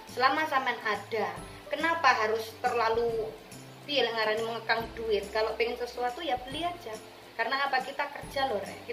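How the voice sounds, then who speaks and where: High-voiced East Javanese woman chatting, echoey room. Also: a bit bright. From Indonesia. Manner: colloquial, mixing Javanese slang, addressing viewers directly. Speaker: vlogger, returned migrant worker. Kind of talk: casual chatty monologue to viewers. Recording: roomy echo with music behind.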